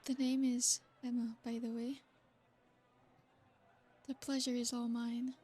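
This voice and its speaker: A woman voicing an ancient, aristocratic female vampire in an ASMR roleplay. She speaks in a soft, formal, flattering way. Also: on the high side, takes it slowly, husky. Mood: coaxing.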